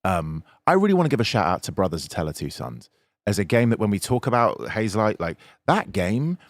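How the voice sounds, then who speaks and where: A man from the United Kingdom with a low voice gives opinions as a podcast co-host. He talks casually but emphatically, repeating words for stress, in a dry recording with little room sound. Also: very animated, a little fast, no room sound.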